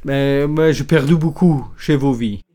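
A man in a documentary interview, speaking simple, broken French. He leans on short repeated phrases as he goes, at a middle pitch. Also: measured pace, warm tone, slight background noise.

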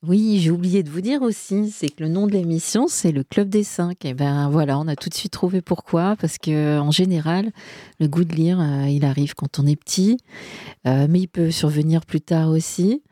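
A woman's voice: low voice, normal speed, dark tone, no room sound, clean studio sound. A woman hosting a radio chat show about reading, chatty and hesitant with many fillers. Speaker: radio host. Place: radio studio.

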